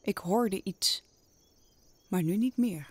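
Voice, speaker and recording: A woman playing a polite, cautious male coachman in an audio drama, formal and measured. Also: mid-range voice, darkish tone, husky, dry recording. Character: deferential, portly male coachman, dignified and cautious.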